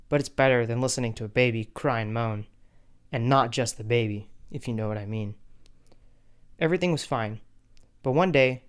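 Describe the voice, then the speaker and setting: A man's voice: medium pitch, clear, resonant tone, natural voice. A man voicing a betrayed young man who tells his family drama wryly, in first-person cartoon story narration. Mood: resigned, scornful.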